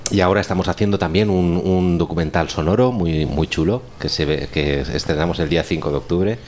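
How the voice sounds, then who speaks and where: Hombre español de voz grave, hablar titubeante. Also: male voice, low voice, brisk, darkish tone, a little hiss or hum. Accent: Spanish, from Spain. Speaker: guest, course instructor and audio documentary maker. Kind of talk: casual round-table talk.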